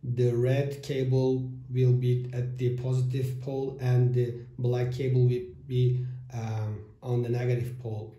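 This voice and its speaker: A man in his thirties hosting a step-by-step electronics build tutorial, with halting phrasing and fillers, filmed at a table in a small room. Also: medium pitch, level tone, dark tone. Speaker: DIY electronics channel host. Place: small room with whiteboard, indoors. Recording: echoey room.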